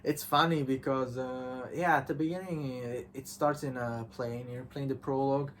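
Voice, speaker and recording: A man talking casually and enthusiastically as a visual novel reviewer, halting and repeating himself with false starts. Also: mid-range voice, steady tone. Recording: clearly noisy background.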